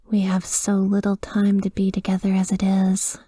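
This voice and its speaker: A woman's voice: mid-range voice, monotone, breathy edge, dry recording, close to an everyday voice. Woman playing a whispering, tender female vampire lover. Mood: tender, wistful. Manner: slow, intimate, hushed whispering. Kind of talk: whispered ASMR roleplay monologue.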